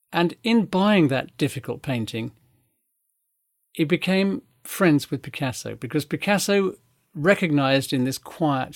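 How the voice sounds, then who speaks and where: A man's voice: mid-range voice, no echo. Older man telling history, dry sound. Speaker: guest speaker. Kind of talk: storytelling talk on art history.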